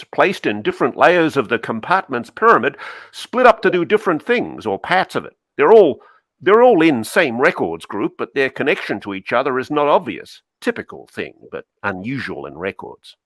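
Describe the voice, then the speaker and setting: Man reading as a clipped, guarded admiral, lively delivery. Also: a little high, moderate pace, no echo, full character voice. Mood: recounting. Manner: clipped, telegraphic phrasing. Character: guarded senior admiral recounting secrets in clipped phrases. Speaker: co-host reading a part. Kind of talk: dramatic cold reading of a memo transcript.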